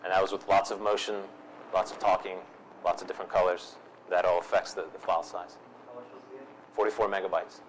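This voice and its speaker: A man's voice: low voice, little pitch movement, a little fast, long pauses, slight background noise, poor audio. A man presenting a workshop and answering audience questions in an easygoing way, repeating himself with frequent ums. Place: seminar room.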